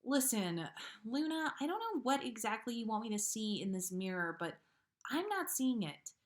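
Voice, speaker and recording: A woman's voice: a little high, very animated, normal speed. Woman as an exasperated, sceptical teenage girl. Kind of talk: character dialogue in a story reading.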